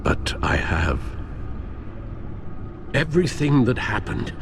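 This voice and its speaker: An actor playing a loyal older butler, formal, measured and grave, weighed down by guilt in a video game drama. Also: male voice, medium pitch, sing-song, background noise. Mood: anguished guilt.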